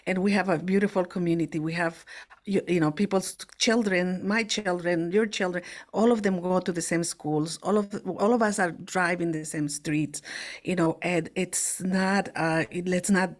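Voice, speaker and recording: A woman from Latin America, a guest on an interview podcast, who speaks earnestly with frequent pauses and restarts. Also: on the low side, steady tone. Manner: halting, many fillers and restarts, earnest. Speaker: guest, immigration affairs official. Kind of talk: casual interview.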